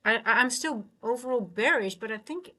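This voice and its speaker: A woman's voice: fairly high pitch, animated, a little fast, pushed and bright. A woman hosting a live trading stream, giving casual commentary to her chat, thinking aloud tentatively and often trailing off mid-sentence. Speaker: livestream host, futures trader and coach.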